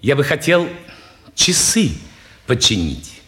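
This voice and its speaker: An actor playing an odd, unsettling man of forty with halting, cryptic, deliberate speech, in a 1950s Russian stage drama. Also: mid-range voice, big pitch swings, fairly bright tone. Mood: halting, reserved. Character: odd, unsettling stranger of forty, humbly dressed.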